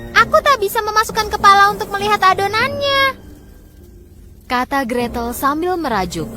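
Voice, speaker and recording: Woman, children's story narrator, dramatic reading, animated melody, faint music behind. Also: high voice. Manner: expressive, dramatic storytelling delivery. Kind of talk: scripted children's fairy-tale narration.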